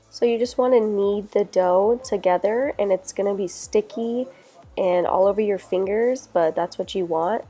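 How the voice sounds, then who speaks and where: A woman who is sick and sounds a little nasal, narrating a cooking tutorial step by step in a relaxed, instructional way. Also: mid-range voice, no echo. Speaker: cooking vlogger.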